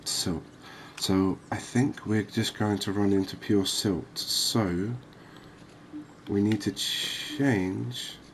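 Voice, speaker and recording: A man doing soft-spoken ASMR game commentary in an unhurried, calming, reassuring manner. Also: on the low side, slight background noise. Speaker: host of a cozy gaming channel.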